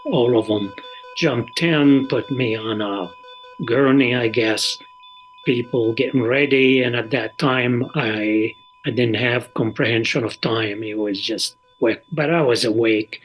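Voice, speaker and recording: A mature man remembers aloud at a very slow pace, in a mid-pitched voice. He is calm and plain-spoken, halting as he pieces the memory together, with soft guitar music behind him. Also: rough recording. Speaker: father recounting his own experience. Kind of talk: unscripted spoken recollection.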